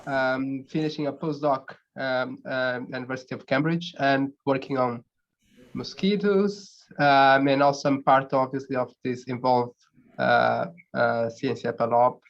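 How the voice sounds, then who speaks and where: A man from Verde, a researcher introducing himself casually and haltingly over a low-fidelity call. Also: fairly even intonation, vocal fry, a little hiss or hum. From Cape Verde. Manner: halting, hesitant. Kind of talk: casual, unprepared self-introduction. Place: online seminar call. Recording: dull, lo-fi call audio.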